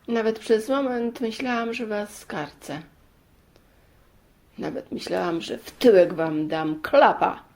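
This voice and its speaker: A woman's voice: mid-range voice, creaky, a little mellow. A woman playing a sturdy village mother in a children's tale, patient, loving and firm, explaining earnestly.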